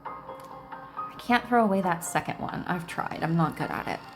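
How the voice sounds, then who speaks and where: A woman hosting a gaming video, reading game dialogue aloud and adding salty, expressive commentary. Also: on the low side, measured pace. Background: game music.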